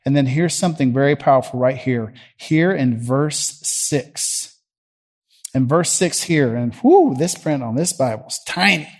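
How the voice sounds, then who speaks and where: A middle-aged man with a Southern US accent, preaching a conversational sermon from a church platform. He questions the congregation and moves between loud and quiet. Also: mid-range voice, expressive, a little slow.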